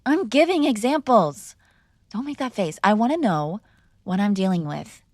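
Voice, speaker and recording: A woman's voice: medium pitch, lively intonation, normal speed, no echo, close to an everyday voice. A woman playing a mob boss's spoiled, defiant daughter in an intimate ASMR roleplay, teasing and sarcastic in a conversational way, close to the microphone. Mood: indignant, challenging. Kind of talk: ASMR girlfriend roleplay, intimate one-sided dialogue.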